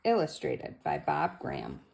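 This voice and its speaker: A woman reading a children's picture book aloud in an expressive, deliberate storytelling manner. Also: low-pitched, creaky, rough recording. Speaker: story reader and host. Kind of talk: scripted read-aloud narration for children.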